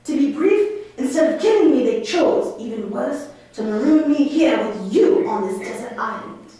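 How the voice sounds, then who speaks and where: A woman's voice: high voice, expressive, moderate pace, dark tone. A woman playing an imperious exiled duke and magician, a father, declaiming theatrically in a stage puppet play. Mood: grave, bitter. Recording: echoey room, distant microphone.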